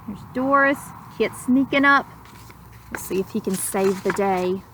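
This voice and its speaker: A woman reading a children's story aloud in an expressive, dramatic manner, with simple explanatory asides. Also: medium pitch, measured pace, a little hiss or hum, low-quality recording. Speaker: storybook reader.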